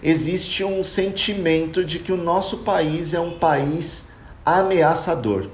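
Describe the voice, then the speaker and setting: Young brazilian guy chatting earnestly, slightly high voice, echoey room with faint hiss. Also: slow. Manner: earnest, reflective, addresses listeners as "cara". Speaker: podcast guest living abroad. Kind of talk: casual chat.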